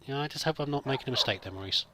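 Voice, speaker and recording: A man's voice: medium pitch, rapid-fire. A man giving casual, in-character roleplay commentary over a video game, rambling politely and hesitantly. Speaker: let's play host. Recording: faint background noise with game ambience.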